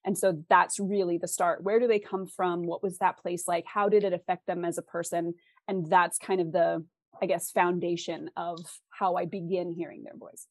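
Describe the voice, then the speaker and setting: A woman with a West Coast American accent talks in a fairly low register, recorded very dry. She is an interview guest who explains things thoughtfully and articulately, often framing points as questions. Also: flat delivery, normal speed, a little mellow, no room sound. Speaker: guest author.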